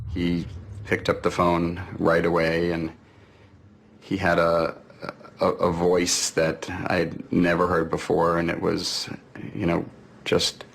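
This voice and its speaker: A man with a very low, creaky voice recalls events in a monotone. His speech is halting, and the archived interview clip has faint noise. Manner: halting, with repeated words. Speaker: interviewee recalling a phone call.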